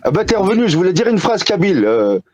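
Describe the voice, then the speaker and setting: A man talking in a casual live-stream debate, animated and prone to restarts. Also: high-pitched, quick pace, highs cut off. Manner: animated, restarts, quotes others in put-on voices. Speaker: debate participant.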